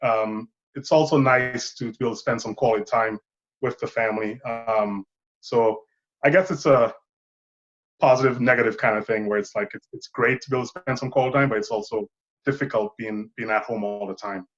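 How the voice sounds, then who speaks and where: A man's voice: mid-range voice, steady tone, fairly quick, fairly bright tone, dull audio. A man in his thirties from Saint Lucia, a filmmaker guest talking thoughtfully and rambling a bit. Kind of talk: casual interview chat.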